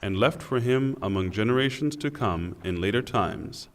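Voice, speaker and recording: A man reading a scripture translation in a solemn, measured and deliberate way. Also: mid-range voice, lively intonation. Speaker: translation reader. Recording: long hall reverb.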